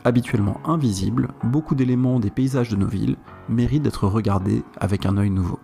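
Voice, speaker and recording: Brisk male podcast host with a creaky edge. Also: on the low side, warm tone, soft background music. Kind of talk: scripted narration linking interview excerpts.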